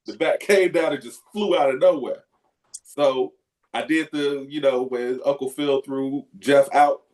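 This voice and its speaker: Man, co-host, casual storytelling, animated, profane. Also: medium pitch, measured pace, low-quality recording. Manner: animated, profane, loud yelps when acting out. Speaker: podcast co-host.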